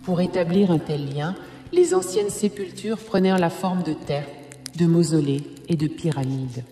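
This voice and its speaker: A woman playing a calm, solemn spiritual guide in a cartoon, teaching in a measured, didactic way. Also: fairly low pitch. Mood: solemn, instructive. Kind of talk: solemn instructive dubbed cartoon dialogue. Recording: echoey reverberant hall, music behind.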